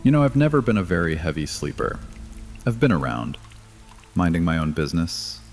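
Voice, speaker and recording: Very deep-voiced, wry male vampire leader by an actor. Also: very animated, brisk, faint music behind. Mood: sardonic. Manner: dry, sardonic banter. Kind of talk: dramatic audio fiction with natural banter.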